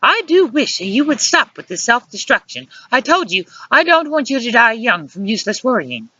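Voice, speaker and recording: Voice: medium pitch, very animated, normal speed, a bit bright, no echo, muffled recording, pitched above the performer's own voice. A narrator voicing an exasperated, bossy woman who lectures sharply in formal wording, in an audiobook story. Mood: exasperated concern. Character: exasperated, scolding adult woman, fierce and bossy lover.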